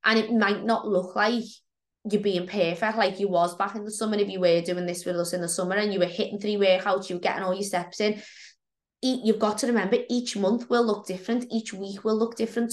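A woman, a fitness coach co-hosting a podcast, chatting frankly with a croaky voice from a cold. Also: medium pitch, fairly even intonation, a little fast. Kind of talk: casual podcast chat.

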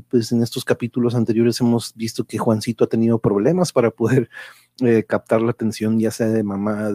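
A man's voice: medium pitch, mellow, no room sound. Male online host, Mexican Spanish accent, mid register, informal rambling chat, very dry close sound. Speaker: host of an online radio drama show. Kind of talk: casual live-stream introduction and chat.

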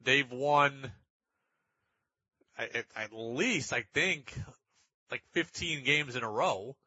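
A man's voice: medium pitch, slow, sharp tone, breathy edge, no room sound, highs cut off. A man hosting a sports talk radio show, giving emphatic commentary and repeating phrases for stress.

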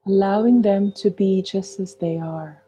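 A woman guiding a meditation in a gentle, soothing, unhurried voice, leaving long pauses between phrases. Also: medium pitch, slightly rough. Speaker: meditation guide. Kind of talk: guided meditation narration.

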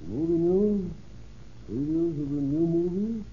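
An actor playing a curious, easily impressed motorist who muses in a folksy way in a 1930s radio commercial skit. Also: male voice, fairly high pitch, a little slow, natural voice. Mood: curious, pleased. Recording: muffled, noisy 1930s radio recording.

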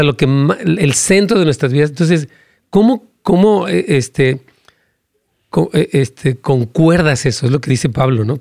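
A male pastor preaching and praying during a live broadcast. He prays in fervent, rhythmic lines with emphatic repetitions, and his pitch climbs as he argues a point. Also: no room sound, clean studio sound. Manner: animated, rising pitch when arguing a point. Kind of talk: live-streamed sermon and prayer with Q&A.

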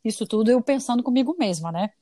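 A woman's voice: fairly high pitch, animated, fast, warm tone, dry recording, clean studio sound. Chatty Brazilian woman podcast host. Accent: mixed Brazilian Portuguese. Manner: chatty, laughing, playful asides. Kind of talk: casual chat.

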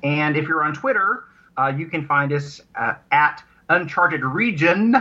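A man chatting casually as an interview guest about his creative work, haltingly, often repeating words and restarting. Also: fairly high pitch, animated, pushed and bright, muffled recording. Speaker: radio drama writer and producer, interview guest.

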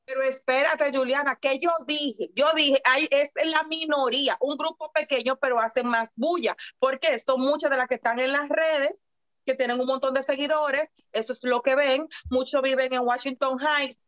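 A woman's voice: high voice, steady tone, normal speed, fairly bright tone, no room sound, muffled recording. A woman with a Dominican Spanish accent, talking and explaining in a casual panel chat. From Dominican Republic.

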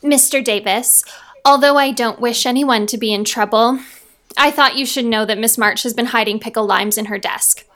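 A woman's voice: a little high, moderate pace, fairly bright tone, lightly acted. A woman playing a snooty schoolgirl rival in an audio play, prim, sugary and snide. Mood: tattling.

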